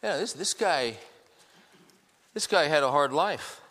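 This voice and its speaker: Man, 50s, pastor, conversational sermon, rhetorical questions, crisp bright voice, long hall reverb. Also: fairly high pitch, expressive, slow pace. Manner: conversational, asks the crowd many rhetorical questions. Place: church hall with congregation.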